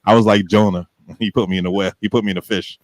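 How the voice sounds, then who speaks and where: A middle-aged man hosts a casual podcast in a very deep, low voice. He rambles in a relaxed way and restarts his sentences often. The sound is dry and close. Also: fast, no room sound. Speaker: podcast host.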